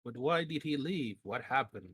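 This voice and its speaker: A man voicing an earnest old man with a husky voice in a tabletop roleplay. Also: medium pitch, lively intonation, moderate pace, fairly bright tone, dry recording. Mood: puzzled, earnest. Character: earnest old man named Jurgen, a follower left behind.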